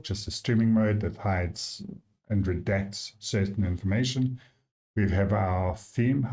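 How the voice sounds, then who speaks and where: A man with a South African accent, the app's developer, casually walking through his software and thinking aloud with frequent fillers. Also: lowish voice. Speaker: app developer giving a demo.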